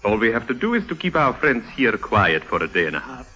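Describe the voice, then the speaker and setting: An actor playing a commanding, calculating foreign crook in a 1950s radio drama, speaking formally and deliberately. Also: male voice, medium pitch, sing-song, sharp tone. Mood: coolly scheming. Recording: hissy old 1950s radio recording.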